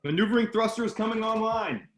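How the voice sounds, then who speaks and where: Starship helmsman, read by a man, brisk reports, high voice. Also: natural voice. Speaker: actor in a table read.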